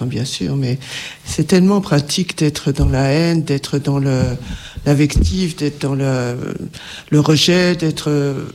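Man on a discussion panel, kinda higher voice, earnest, pauses to find words. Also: darkish tone. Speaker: panel guest.

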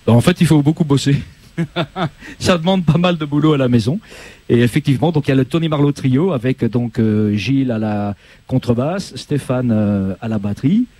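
A man in his sixties, a singer-guitarist from Belgium raised in Corsica, answering interview questions in a relaxed, plain way. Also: mid-range voice, moderate pace, no room sound. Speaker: guest musician.

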